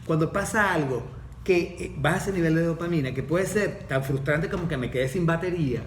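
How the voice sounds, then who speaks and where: A man with a Venezuelan accent, explaining in a measured, lecturing way in a podcast and answering his own questions. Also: on the high side, echoey room, recorded from a distance, a little hiss or hum. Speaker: course facilitator, guest.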